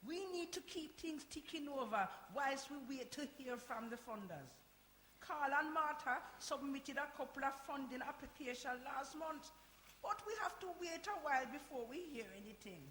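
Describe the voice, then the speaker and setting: Woman acting a high-voiced, insistent older woman, echoey hall. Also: animated, moderate pace, pushed and bright. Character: Dorothy, brisk, insistent older Jamaican grandmother running a community centre. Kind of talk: live-performed community radio play. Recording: echoey hall, hiss and noise.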